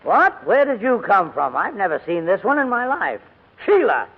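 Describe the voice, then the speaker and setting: Tinny old-radio elderly English gentleman. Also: high voice, huge pitch swings, moderate pace, breathy edge, exaggerated voice. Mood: exasperated. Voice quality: hesitant, hemming delivery. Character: courteous, dithering elderly English gentleman escorting refugee children. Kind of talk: 1940s radio drama acting.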